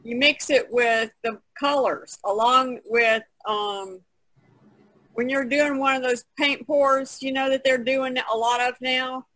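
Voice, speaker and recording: A woman's voice: high-pitched, steady tone, slow, fairly bright tone, no room sound, rough recording. A woman in casual conversation on an online call, explaining things as an artist taking part in a podcast. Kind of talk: casual chat, explaining a technique. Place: online video conference call, indoors. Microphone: video call.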